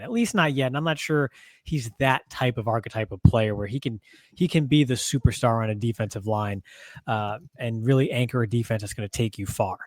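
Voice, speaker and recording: A man's voice: medium pitch, a little mellow, no room sound. A man co-hosting a football podcast in casual chat, wry and teasing, reasoning through his points. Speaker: podcast co-host, football analyst.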